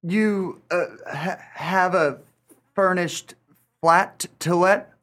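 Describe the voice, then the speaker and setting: An actor playing a timid, fussy gentleman in a radio play, stammering and hesitating with formal wording. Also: high voice, extremely slow, full character voice. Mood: nervous, hesitant.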